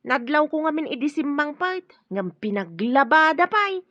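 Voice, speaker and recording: A woman's voice: high-pitched, big pitch swings, normal speed, fairly bright tone, no echo. A woman playing a concerned, helpful relative in an Ilocano radio drama, speaking earnestly and deferentially. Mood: confiding. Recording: dull lo-fi audio with music.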